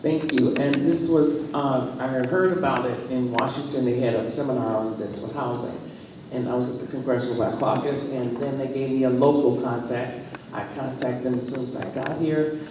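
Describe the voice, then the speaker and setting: A man's voice: mid-range voice, steady tone, normal speed, noisy background. A man who appears to be the mayor chairing a public board meeting, making loose, rambling announcements. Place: village board meeting room. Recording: echoey hall, picked up from far off.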